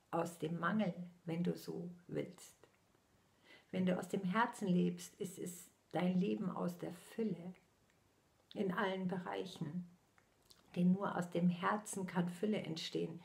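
A woman in her sixties speaks as a medium giving a channeled message, her low, gravelly voice moving at a very unhurried pace. She keeps her eyes closed and lets many phrases fall into a soft whisper. It sounds close and intimate, as if spoken into a laptop in a small room at home. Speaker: spiritual medium.

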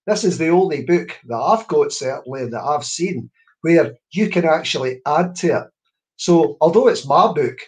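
A man's voice: a little high, darkish tone. A Scottish man in his fifties, a children's poet, chatting encouragingly to schoolchildren over a video call from home and dropping in Scots words. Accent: Scottish, Scots-speaking. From Scotland. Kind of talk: online workshop talk to schoolchildren. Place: room at home. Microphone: webcam on a video call.

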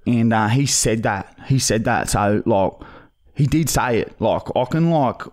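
A man playing a dodgy, pushy Australian bogan on a prank phone call, talking in halting, repetitive slang. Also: mid-range voice, a little mellow, close to an everyday voice. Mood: defensive, rambling. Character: dodgy, pushy young male bogan pestering for painkillers. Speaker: prank caller, podcast host. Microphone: close studio mic on boom arm.

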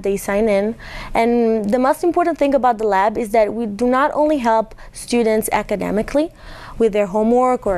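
A woman's voice: a little high, a little mellow. A young woman from Italy with an Italian accent, a language lab facilitator explaining her work earnestly in a college TV interview. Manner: earnest, explanatory, with small restarts. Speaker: ESL lab facilitator, guest.

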